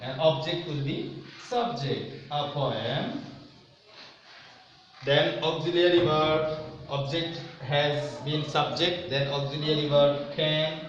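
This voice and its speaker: A man's voice: fairly high pitch, steady tone, takes it slowly. Man teaching in a very echoey room. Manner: repetitive, didactic, step-by-step explaining. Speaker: English grammar teacher. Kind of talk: instructional lesson to students. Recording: very echoey room, distant mic, muffled, faint noise.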